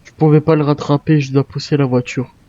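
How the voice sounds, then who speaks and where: Mellow, higher-voiced man in lo-fi game chat. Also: flat delivery, a little fast. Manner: repeats short phrases insistently. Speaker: role-play gamer. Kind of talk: improvised in-character online game role-play. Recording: slightly dull, lo-fi game voice chat.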